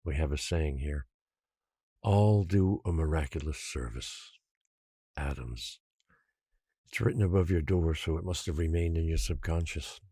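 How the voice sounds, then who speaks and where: Stern professor running an island, audio drama. Very low, dark, dry-recorded, coldly authoritative. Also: male voice, slightly rough, dry recording, full character voice. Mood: calm, firm. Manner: measured, cold, authoritative. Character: stern controlling professor who rules an island.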